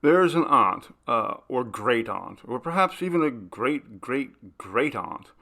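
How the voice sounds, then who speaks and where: A man reading aloud, voicing an amused, courteous young gentleman in a ghost story. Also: medium pitch, sing-song, takes it slowly, gravelly, exaggerated voice. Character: young gentleman host, Paul, amused and mysterious.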